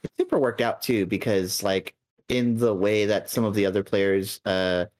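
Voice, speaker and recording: A man's voice: fairly low pitch, normal speed, darkish tone. A man hosting a casual podcast interview, rambling and thinking aloud with many fillers. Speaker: podcast host.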